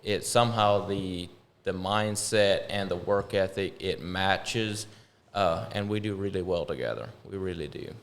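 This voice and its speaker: A man's voice: deep voice, a little slow. A man. He is a business owner being interviewed, and he explains his work in a casual, conversational way. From Arkansas, USA. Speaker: shed company co-owner, interview guest.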